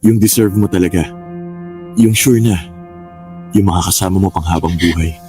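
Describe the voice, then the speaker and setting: A man plays a younger boyfriend in a radio drama, apologetic and halting. His voice is low and very mellow, with soft music underneath. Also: lightly acted. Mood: apologetic, gentle. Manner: halting, hesitant.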